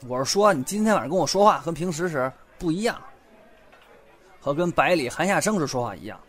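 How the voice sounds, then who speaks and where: A man's voice: fairly high pitch, animated, fairly quick, a bit bright. A man playing a blunt, nervously curious young man in an audio drama, questioning expressively and slipping between muttering and blurting. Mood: inquisitive. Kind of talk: Chinese audio drama acting.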